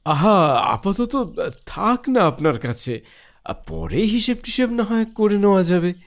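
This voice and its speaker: An actor playing an anxious elderly father in an audio drama, polite and deferential, with halting, stammering, apologetic speech. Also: sits high, very animated. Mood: troubled, pleading. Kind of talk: Bengali audio horror story acting.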